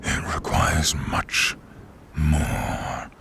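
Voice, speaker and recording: A man playing a menacing, bitter dark sorcerer king risen from peasantry, with a gravelly half-whispered rasp and grandiose, taunting delivery in a fantasy audio drama. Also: a bass voice, fairly even intonation, noisy background, full character voice. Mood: sinister triumph. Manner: slow, theatrical, taunting delivery. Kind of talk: fantasy audio roleplay acting.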